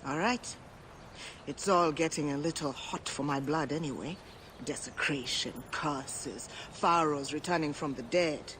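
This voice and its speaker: An actress playing a brash, suspicious gambler and historian in a video game, with a sardonic, salty way of talking. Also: female voice, low-pitched, unhurried, fairly bright tone, slight background noise. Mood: wary, grudging. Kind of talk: dramatic video game acting. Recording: music behind the voice.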